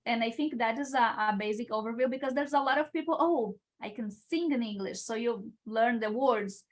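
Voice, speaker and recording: Young Brazilian woman, Portuguese native, speaks English with a slightly high voice and works through her thoughts with frequent pauses and restarts. Video-call interview guest. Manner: hesitant, searching for words with many uh's and restarts. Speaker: guest, international engineering student. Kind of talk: live-stream Q&A answers.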